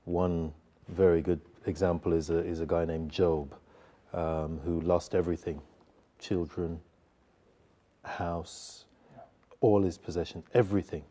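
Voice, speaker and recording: A man speaking as a panel guest in an informal religious discussion, reflective and halting as he thinks. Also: low-pitched, level tone, mellow.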